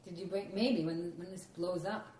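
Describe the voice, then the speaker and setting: A woman with a Boston accent hosting a podcast interview in casual chat, laughing often and talking in quick run-on bursts. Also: lowish voice, fairly even intonation, darkish tone, roomy sound, a little hiss or hum. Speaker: podcast host.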